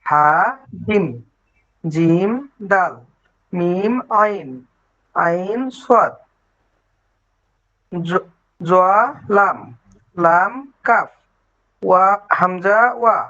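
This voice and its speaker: A student reciting letter pairs back to the teacher in an online class. The pace is very slow and halting. The audio comes through dull and lo-fi. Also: mid-range voice, fairly bright tone.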